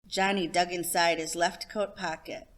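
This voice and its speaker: A woman's voice: on the low side, steady tone, normal speed, fairly bright tone. A woman storyteller giving a scripted narration of a children's story.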